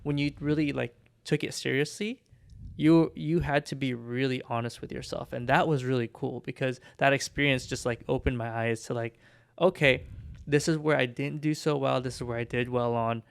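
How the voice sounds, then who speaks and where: Gravelly Filipino-accented man. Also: medium pitch, steady tone, moderate pace. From Philippines. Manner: reflective storytelling. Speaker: podcast co-host. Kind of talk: casual chat.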